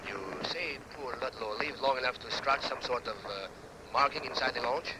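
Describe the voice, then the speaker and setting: An actor playing a courteous, guarded professor, hesitant and probing, in 1940s radio style. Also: male voice, mid-range voice, moderate pace, pushed and bright, close to an everyday voice. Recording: old radio recording, crackly crowd-like din.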